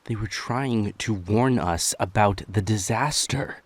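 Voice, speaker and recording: A man's voice: fairly low pitch, slow, no echo. A man playing a betrayed, demanding demon in an audio roleplay, speaking with accusing intensity. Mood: urgent. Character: male demon, former steward, betrayed and demanding. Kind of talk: dramatic audio roleplay acting.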